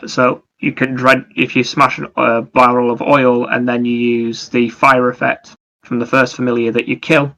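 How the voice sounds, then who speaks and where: Male co-host for casual gaming chat. Bright tone, narrow pitch movement, explanatory. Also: little pitch movement, moderate pace. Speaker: podcast co-host. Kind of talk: casual video game discussion.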